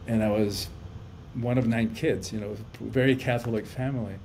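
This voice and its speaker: A man's voice: mid-range voice, steady tone, normal speed, creaky, a little mellow, slight background noise. A man in his fifties from Cuba, a guest on a video-call podcast, talking earnestly and reflectively and often repeating words as he thinks. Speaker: podcast guest, life coach and author. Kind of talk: casual interview conversation. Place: indoor room at home.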